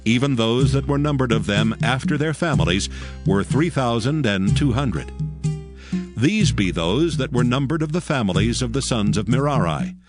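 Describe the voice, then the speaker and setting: A man giving formal, measured scripted narration of scripture. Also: medium pitch, lively intonation. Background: plucked string instrument music.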